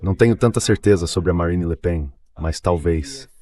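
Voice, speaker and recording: A deep male AI dubbing voice delivers political commentary. It sits clearly low in pitch, in the register of a discussion programme. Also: moderate pace, dark tone. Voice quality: synthetic AI dubbing voice.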